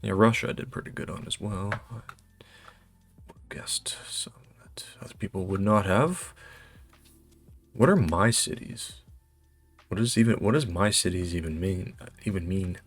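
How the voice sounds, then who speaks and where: A North American man with a fairly low voice hosts a casual quiz playthrough. He thinks out loud, often hesitating and muttering to himself. Light music plays behind him. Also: a little slow, long pauses, slightly rough. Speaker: host playing a geography quiz. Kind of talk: casual game commentary, thinking aloud.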